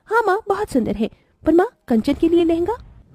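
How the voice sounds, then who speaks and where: A woman voicing a kind, protective young bride-to-be, gentle and earnest, in an animated moral story. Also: very high-pitched, normal speed, no room sound. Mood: sweet.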